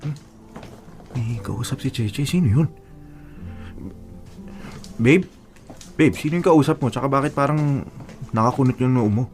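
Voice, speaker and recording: A man acts out a young Filipino boyfriend in an audio drama. His mid-pitched voice is casual and hesitant, evasive and apologetic, with music playing behind him. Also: measured pace, pauses often, darkish tone, natural voice. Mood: nervous, defensive. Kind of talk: Filipino radio-style drama acting.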